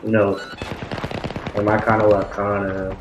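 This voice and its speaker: A young man casually chatting and joking while playing a shooter game, rambling with deadpan asides. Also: on the low side, moderate pace, muffled recording, slight background noise. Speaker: gameplay commentator. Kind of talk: casual gaming chat. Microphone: desktop mic with audible clicking. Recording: echoey room, loud gunfire behind. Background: video game gunfire.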